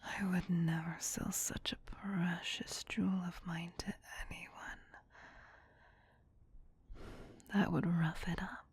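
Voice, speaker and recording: Casting: female performer as vampire queen, tender yet possessive, very breathy whisper, low-leaning pitch, soothing ASMR roleplay delivery. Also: little pitch movement, no room sound, heavily acted. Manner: slow, soothing, intimate.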